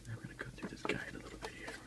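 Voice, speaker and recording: A man softly whispering an informal, unhurried ASMR unboxing in a breathy voice. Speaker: ASMR video creator. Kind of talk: ASMR unboxing, softly narrated show-and-tell.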